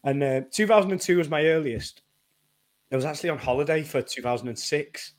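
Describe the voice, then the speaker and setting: A man in his twenties co-hosting a casual football podcast, animated and laughing often as he repeats words while he thinks. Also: fairly high pitch, brisk, noisy background. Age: 20s. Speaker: podcast co-host. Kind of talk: casual chat.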